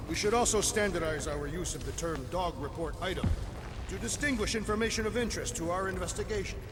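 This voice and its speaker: An actor playing a solemn, literal-minded alien warrior in a video game, speaking formally and deadpan. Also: male voice, sits high, fast talker, big-room sound, noisy background, lightly acted.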